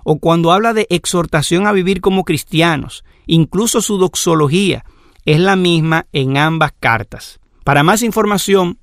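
Male Bible teacher, slightly raised pitch with some fry, patient explanatory lecture delivery. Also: no room sound, studio quality. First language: Spanish. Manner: measured, explanatory, lists examples. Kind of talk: lecture on scripture.

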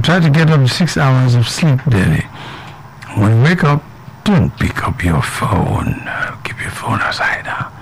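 A man's voice: mid-range voice, creaky, darkish tone, a little hiss or hum, low-quality recording. A man hosting a radio health show, reading the news and interviewing a guest in a chatty, loose way with lots of fillers.

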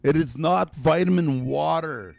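A man's voice: on the high side, vocal fry, darkish tone, no echo. A man hosting a call-in guessing game on the radio, drawing out and repeating each guess while he is losing his voice. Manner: drawn-out, repeats each guess slowly, chuckles. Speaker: radio game show host.

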